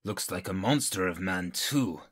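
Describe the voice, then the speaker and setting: A skeptical, grumbling henchman played by a man in an audio drama, uneasy about it all. His voice is low but forceful and sharp, with a husky rasp. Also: unhurried.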